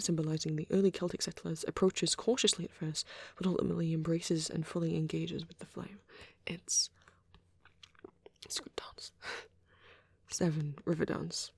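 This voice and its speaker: A soft, husky half-whisper with a creaky edge, belonging to a shy vampire boy played by a man in an ASMR roleplay. He speaks gently and haltingly in a narrow, even pitch, as if rambling to a date. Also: no room sound. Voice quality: breathy, often slipping into whisper. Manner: hesitant, halting, gently spoken.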